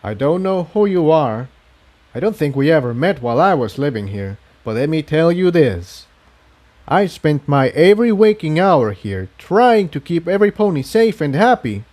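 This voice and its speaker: A man's voice: on the high side, very animated, normal speed, darkish tone, voice pushed up. A man voicing a determined, protective male pony hero who speaks with earnest emphasis in a story reading.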